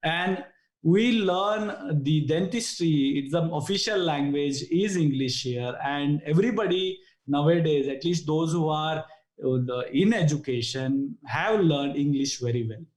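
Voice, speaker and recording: A man's voice: fairly high pitch, lively intonation, measured pace, a little mellow, reverberant. A man with an Indian accent, a native Konkani speaker, answering interview questions in a hesitant way with many fillers. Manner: hesitant, frequent "you know" fillers. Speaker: guest dentist.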